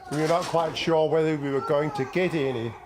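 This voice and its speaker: An older man from Denmark, answering interview questions hesitantly with many pauses and fillers. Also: on the high side, fairly even intonation, noisy background. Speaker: project participant.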